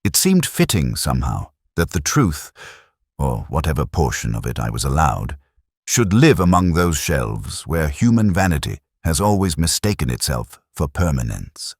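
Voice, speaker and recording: A man acting as a melancholy detective tells his mystery in the first person, in an extremely deep voice. His delivery is reflective and literary, with wide swings of intonation over measured phrases. The sound is dry and intimate, like a scripted audio drama. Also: big pitch swings, breathy edge, no echo, heavily acted. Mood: brooding, hushed. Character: brooding, melancholy male police inspector narrating his case.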